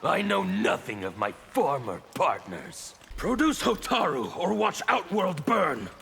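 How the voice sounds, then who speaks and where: Fighting game anarchist zealot: bright forceful edge, fairly high, menacing and gravelly. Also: male voice, very animated, long reverb tail, slight background noise, full character voice. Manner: menacing, theatrical, deliberate. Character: Havik, fanatical male anarchist revolutionary fighter. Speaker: video game character. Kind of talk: dramatic fighting game dialogue.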